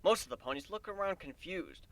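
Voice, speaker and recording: Animated, bright-voiced man reading a story. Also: high voice, fairly quick, no echo. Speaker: amateur story reader. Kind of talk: animated dramatic reading of fan fiction.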